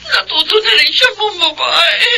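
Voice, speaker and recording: A woman's voice: very high-pitched, expressive, moderate pace, creaky, sharp tone, shouting, heavily acted. An actress playing a distraught, betrayed mother in a Filipino radio drama, agitated and wailing. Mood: shocked, distraught. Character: distraught, betrayed mother working away from home. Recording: noisy track with clicks.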